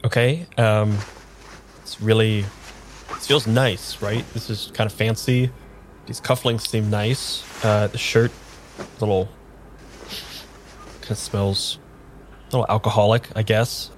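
An actor playing a nervous, hesitant amnesiac man in an improvised radio drama, talking in a halting, understated way. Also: fairly low pitch, fairly even intonation, a little hiss or hum. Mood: uncertain, uneasy. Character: nervous, hesitant amnesiac man having his tarot read.

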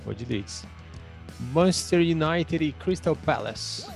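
A man co-hosting a casual football podcast, keeping his remarks short and unhurried. He speaks slowly in a slightly high voice, with faint music playing under him. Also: expressive, vocal fry, darkish tone. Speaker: podcast co-host.

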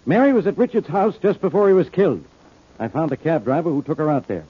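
An actor playing a gruff, sarcastic police inspector in an old-time radio detective drama, blunt and needling with a rough-edged voice. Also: male voice, on the high side, animated, fast talker, phone-quality sound. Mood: exasperated, accusing. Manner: blunt, needling, hard-boiled delivery.

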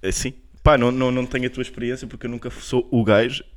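Deadpan Portuguese man in casual chat. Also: mid-range voice, quick pace. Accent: European Portuguese. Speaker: podcast co-host.

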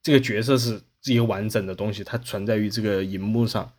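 A man's voice: fairly low pitch, fairly even intonation, brisk, darkish tone, breathy edge. A man talking casually as a podcast host, explaining at length and often rephrasing as he goes. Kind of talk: casual discussion.

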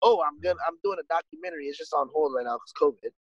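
Chill dude musician, a bit high voiced, rambles, cusses, says dude a lot, dry close mic. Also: male voice, racing, husky, no echo, highs cut off. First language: English. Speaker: musician guest. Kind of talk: casual conversation.

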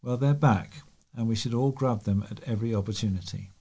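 A man's voice: medium pitch, brisk, dark tone, husky. A man reading local newspaper articles aloud as a volunteer news reader, with the occasional stumble.

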